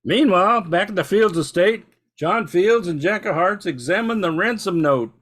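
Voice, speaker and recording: A man's voice: sits high, expressive, moderate pace, a bit bright. An older man reading scripted narration for a radio drama.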